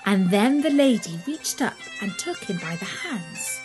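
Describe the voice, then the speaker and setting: A woman narrating a children's fairy tale in an expressive, deliberate storytelling manner. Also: medium pitch, music in the background.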